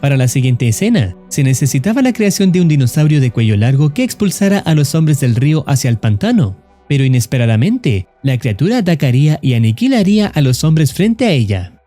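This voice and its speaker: A man narrates a horror tale in a steady, solemn voice, leaning on ominous words. His tone is mellow and dark, and his pitch rises and falls in a lively way. Faint music plays under his voice-over. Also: fairly quick, few pauses. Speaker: YouTube horror channel narrator. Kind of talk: scripted narration of a horror story.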